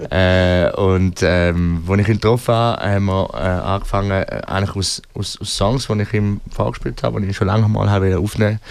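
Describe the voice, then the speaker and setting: A man chatting casually as a musician guest in a radio interview, speaking hesitantly in short phrases with frequent pauses. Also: low-pitched, creaky, clear, resonant tone, dry recording.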